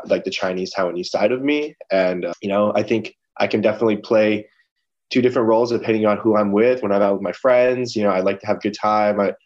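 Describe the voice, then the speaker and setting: A young man from Los Angeles, chatting casually as a podcast guest from his office, rambling with plenty of fillers. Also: lowish voice. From Los Angeles, California. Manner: relaxed, rambling, many fillers like "you know". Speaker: guest, lawyer. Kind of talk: casual interview chat. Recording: dull lo-fi sound.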